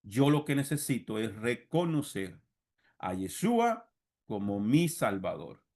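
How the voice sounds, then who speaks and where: A man with a Costa Rican Spanish accent teaching a Bible seminar like a sermon, didactic, repeating key phrases and addressing listeners directly. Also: medium pitch. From Costa Rica. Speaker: religious teacher and congregation leader.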